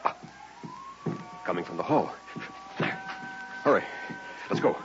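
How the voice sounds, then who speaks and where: An actor playing a wealthy, anxious French count with a grave, dramatic delivery in an old-time radio play. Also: male voice, sits low, animated, takes it slowly. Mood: urgent. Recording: muffled, very noisy vintage radio recording.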